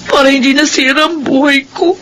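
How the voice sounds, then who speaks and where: A woman's voice: high voice, fairly even intonation, brisk, fairly bright tone, slight background noise. An actress playing a bitter, despairing young mother with a tearful, emotional delivery in radio melodrama. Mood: bitter regret. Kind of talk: melodramatic radio drama acting.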